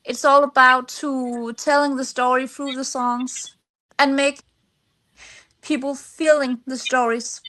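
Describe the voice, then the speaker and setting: Female podcast guest, high-pitched, Danish accent, second-language speaker, conversational and somewhat halting phrasing. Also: little pitch movement, bright, forceful tone, rough recording. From Denmark. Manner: halting, searching for words in non-native English. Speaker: singer-songwriter, podcast guest. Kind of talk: conversational interview answers.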